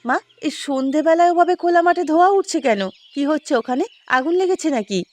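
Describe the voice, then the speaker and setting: A woman's voice: high voice, fast, a little mellow, lightly acted. A woman voicing an eager, childlike young daughter-in-law from the city in a cartoon. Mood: curious, amazed. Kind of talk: Bengali cartoon fairy-tale voice acting. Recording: music under the voice.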